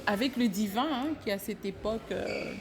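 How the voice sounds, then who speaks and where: A woman from French Guiana, the founder of a fashion brand, talks about her childhood in an interview, slowly and hesitantly, with many fillers. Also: medium pitch, a crowd in the background.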